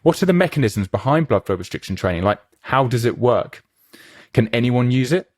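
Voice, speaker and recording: A man's voice: mid-range voice, big pitch swings, a little fast, no echo, clean studio sound. A man hosting a podcast, introducing his guest and asking eager, chatty questions. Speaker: podcast host. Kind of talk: conversational interview.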